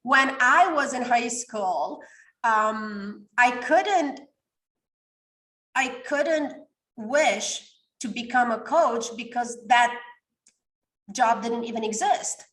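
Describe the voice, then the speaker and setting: A woman's voice: on the high side, animated, extremely slow, long pauses, a bit bright, echoey room. A woman in her forties from Romania, a coach talking animatedly in a podcast interview and sometimes searching for words. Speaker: guest, coach.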